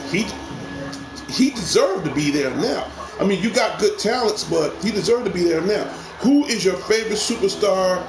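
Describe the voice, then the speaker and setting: A man hosting a live game stream, rambling and repeating himself as he talks to viewers. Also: on the high side. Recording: echoey room with clear background noise.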